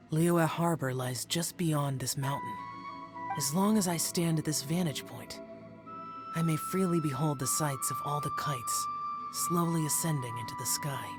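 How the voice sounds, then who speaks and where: A man's voice: fairly high pitch, lightly acted. A man playing a solemn, reserved young male warrior adept in a video game, speaking formally and with restraint.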